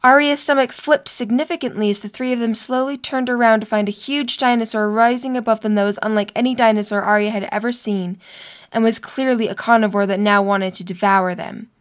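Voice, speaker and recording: Slightly high-voiced woman narrator. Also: fairly even intonation, a little fast. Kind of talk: scripted story narration.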